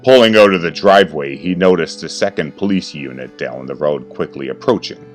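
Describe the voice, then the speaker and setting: A man's voice: a bass voice, brisk. A man reading a scripted horror story as its narrator. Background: faint music.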